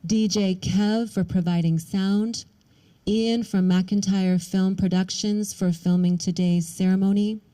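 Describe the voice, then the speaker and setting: A woman's voice: medium pitch, fairly even intonation, measured pace, mellow, poor audio. A woman officiating a ceremony, delivering a formal, scripted speech.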